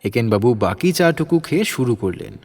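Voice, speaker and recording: A man's voice: medium pitch, sing-song, normal speed, a little mellow. A man with a Bengali accent giving scripted narration for an audio story.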